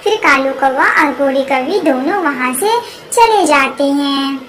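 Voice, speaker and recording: High-pitched woman narrating a children's cartoon. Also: expressive, unhurried, a bit bright.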